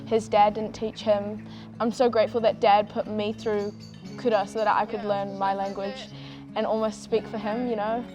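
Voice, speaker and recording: A woman's voice: medium pitch, level tone, normal speed, music in the background. A slam poet woman with a New Zealand accent speaking earnestly. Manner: earnest, heartfelt. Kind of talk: casual documentary talk.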